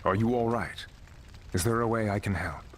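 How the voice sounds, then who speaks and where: A man playing a calm, solemn necromancer hero in a video game, measured and reserved. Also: fairly low pitch, slight background noise, close to an everyday voice.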